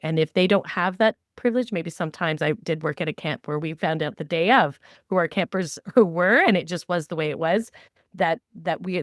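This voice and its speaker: A woman's voice: low-pitched, no room sound, studio quality. A woman co-hosting a podcast, giving conversational advice with emphatic, repeated phrasing. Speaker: podcast co-host. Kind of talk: conversational advice on camp staff training.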